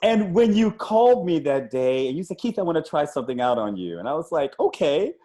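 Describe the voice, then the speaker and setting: A man's voice: a little high, big pitch swings, fairly quick, mellow. A man hosting a casual interview, effusive and encouraging, often repeating his affirmations. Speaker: interviewer and comics creator.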